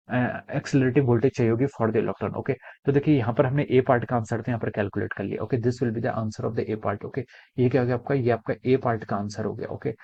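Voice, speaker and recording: A man's voice: medium pitch, warm tone, muffled recording. A man with an Indian accent tutoring students step by step, switching between Hindi and English and often asking questions he then answers himself. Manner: repetitive, frequently asking and answering own questions. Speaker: physics tutor. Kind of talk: step-by-step problem-solving lesson addressed to students.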